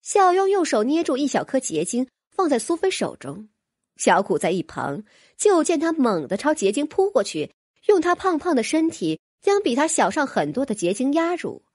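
A woman's voice: high voice, very animated, moderate pace, a little mellow, no echo, studio quality. A woman reading scripted fantasy fiction expressively as an audiobook narrator.

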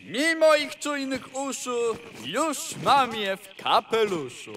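Man acting a high-pitched, swooping sly tomcat. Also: sing-song, bright, forceful tone, faint music behind, full character voice. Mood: triumphant. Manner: theatrical, deliberate rhymed delivery. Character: sly, boastful talking tomcat, loyal servant. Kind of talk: theatrical rhymed audio-play acting.

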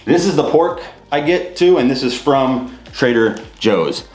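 A man's voice: mid-range voice, lively intonation, a little slow, darkish tone. A young man chatting casually to camera in his home kitchen, showing off groceries with a loose, rambling delivery full of "uh" and "like". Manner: loose and rambling, many fillers like "uh" and "like". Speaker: fitness vlogger. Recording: roomy kitchen with clear background noise.

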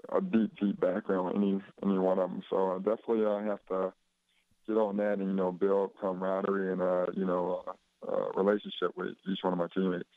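Young male athlete guest on a dull phone line, deep and monotone, easygoing and rambling. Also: fairly quick, no room sound. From Chicago, USA. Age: 20s. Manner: laid-back, rambling with many fillers. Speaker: basketball player guest. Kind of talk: casual phone interview.

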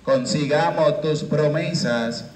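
A man in his thirties, a priest leading Mass into a handheld microphone in a small chapel, with a slow, measured liturgical cadence. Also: fairly high pitch, a little mellow, a little hiss or hum. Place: small chapel with curtained walls. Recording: echoey chapel room.

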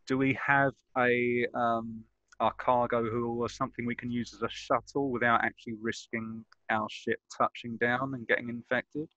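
A man with a sharp, bright voice talks casually as a player in a tabletop game. His pitch is middling. The sound is very dry and close. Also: little pitch movement, moderate pace, no room sound, lo-fi sound. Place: indoors at home on a group video call.

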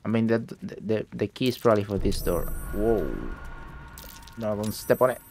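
A young man who is sick, his voice deeper than usual, commentating a game and reading notes aloud haltingly, with English not his first language. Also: fairly even intonation, darkish tone, slight background noise. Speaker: gameplay streamer. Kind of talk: let's play commentary.

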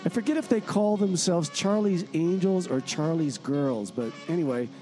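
Man as a high-voiced, chatty time traveler. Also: moderate pace, dark tone, lightly acted, rough recording. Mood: breezy. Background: music.

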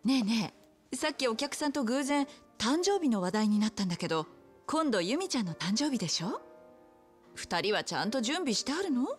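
A friendly bar hostess and confidante in a video game scene. Her voice is fairly high with lively intonation. She phrases things softly and coaxes cheerily, over faint music. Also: a little fast. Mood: friendly, chatty. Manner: soft feminine phrasing, chatty and encouraging. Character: friendly, coaxing bar hostess, a familiar big-sister confidante. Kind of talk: video game cutscene acting.

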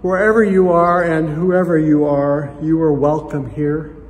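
An older man, a pastor, giving measured, formal welcoming remarks to open a worship service, recorded in a church sanctuary. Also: on the high side, fairly even intonation. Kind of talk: scripted welcome and opening remarks. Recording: reverberant sanctuary with faint ambience.

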